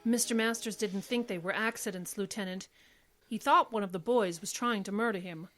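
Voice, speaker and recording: A woman's voice: medium pitch, moderate pace, fairly bright tone, faint music behind, close to an everyday voice. A woman playing a determined, loyal defense attorney in an old-time radio drama, speaking firmly and insistently. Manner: firm, insistent.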